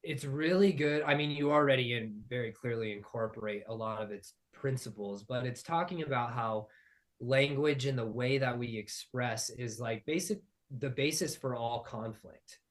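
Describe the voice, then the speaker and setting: A man speaking at an everyday middle pitch, spinning out long abstract thoughts in a casual spiritual conversation. His sentences wander and restart as he builds each idea. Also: normal speed. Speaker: podcast guest.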